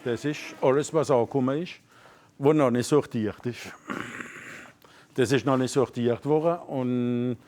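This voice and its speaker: A man's voice: mid-range voice, unhurried, long pauses, a little mellow, a little hiss or hum. A man working at a charity sorting depot, explaining plainly where donations come from. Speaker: charity shop worker.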